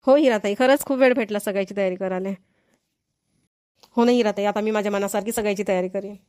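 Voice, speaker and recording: Woman playing a fast-chattering eager village aunt. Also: medium pitch, steady tone, a little mellow, clean tone, lightly acted. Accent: Varhadi Marathi. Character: eager, practical aunt planning a family occasion. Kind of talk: amateur cartoon family comedy dialogue.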